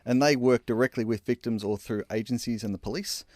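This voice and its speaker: A man's voice: medium pitch, a little fast, darkish tone, no room sound, clean studio sound. A man speaking as an interview guest, explaining a charity in a conversational way.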